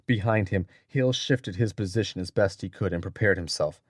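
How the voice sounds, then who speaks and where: A man reading scripted adventure fiction as an audiobook narrator, in a dramatic, measured storytelling manner. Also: sits low, no room sound, studio quality.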